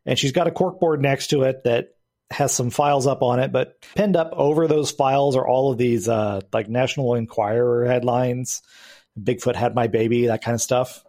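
A man's voice: mid-range voice, moderate pace, darkish tone. Laughing man running a tabletop game. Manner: laughing often, restarting phrases. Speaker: game master.

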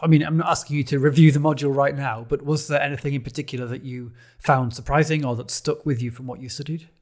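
A man hosting a podcast interview, asking questions in a relaxed, lightly joking way. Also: medium pitch, fast. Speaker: podcast host.

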